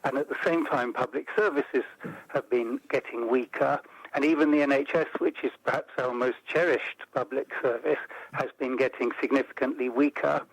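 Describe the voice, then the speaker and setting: A man as an interview guest, explaining policy in a measured way and building points into lists. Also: mid-range voice, steady tone, slightly rough, no room sound, poor audio. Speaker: interview guest, report author. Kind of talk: radio interview.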